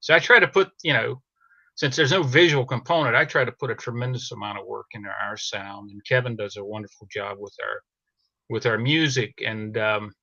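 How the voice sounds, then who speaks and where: Middle-aged male podcast guest: forward, bright voice, casual delivery, dry and slightly dull recording. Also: mid-range voice, no room sound. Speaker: podcast guest, audio drama producer. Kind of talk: casual interview talk.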